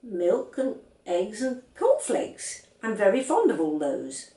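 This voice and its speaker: Woman voicing a determined little girl, lively pitch. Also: slow pace, reverberant, recorded from a distance, heavily acted. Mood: emphatic, scornful.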